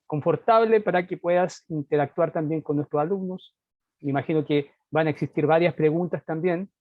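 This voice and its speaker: Man, course host, mellow tone, hesitant delivery, dull lo-fi dry audio. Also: high voice, no echo. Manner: hesitant, with frequent fillers and restarts. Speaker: course host introducing the guest. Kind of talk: formal introduction.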